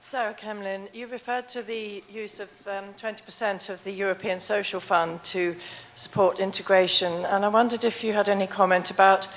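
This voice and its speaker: A woman in the audience asking a question in a measured way, pausing to find her words. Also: mid-range voice, fairly even intonation, a bit bright, a little hiss or hum.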